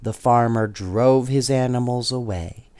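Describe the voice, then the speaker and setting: A man's voice: medium pitch, unhurried, darkish tone, clean tone, no room sound. A man reading children's bedtime stories aloud, with casual asides to his listeners. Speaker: bedtime story reader.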